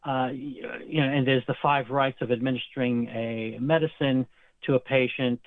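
A man's voice: medium pitch, steady tone, normal speed, no room sound. A man in an interview, explaining nursing and medical terms at length, as a healthcare guest. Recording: tinny phone line, dry.

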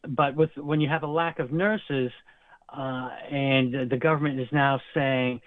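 A man's voice: mid-range voice, steady tone, a little slow, no room sound. A man in an interview, explaining nursing and medical terms at length, as a healthcare guest. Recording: tinny phone line, dry.

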